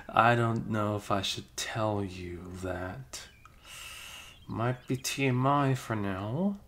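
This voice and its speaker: Close-mic ASMR roleplay: a low-voiced man, unhurried and hesitant, drops into whispers. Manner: soft-spoken, drifting into whispers, hesitant. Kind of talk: improvised ASMR roleplay as a best friend. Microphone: close microphone.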